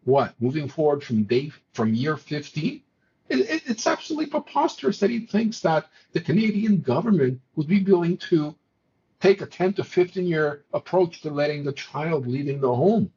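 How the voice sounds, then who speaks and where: A man's voice: fairly high pitch, very animated, normal speed, a little mellow, highs cut off, slight background noise. A man from Montreal whose first language was not English, talking politics on a talk show in an exasperated way, often stumbling and restarting his words. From Montreal, Quebec. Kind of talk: political commentary chat.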